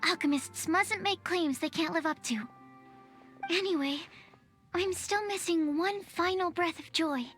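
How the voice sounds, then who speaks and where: A woman's voice: very high-pitched, a little slow, a bit bright. A woman playing a shy, bookish young female alchemist in a video game, hesitant and precise like a scientist. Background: game music.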